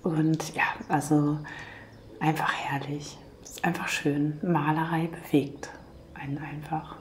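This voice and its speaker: A hoarse woman hosting a painting channel, talking gently and half-whispering in a calm, chatty way. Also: sits low, a little slow, slight background noise. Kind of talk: calm chatty vlog talk.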